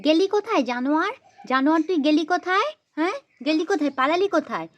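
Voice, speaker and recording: A woman's voice: high-pitched, animated, fast, highs cut off. A woman playing an exasperated mother who scolds her naughty little girl in a repetitive, threatening way, in an amateur children's story. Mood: angry scolding.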